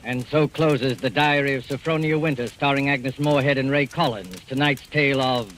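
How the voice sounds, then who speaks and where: A man narrating in formal, dramatic 1940s radio announcer style, with measured phrasing, on an old broadcast recording. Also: mid-range voice. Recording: old radio broadcast, loud music behind.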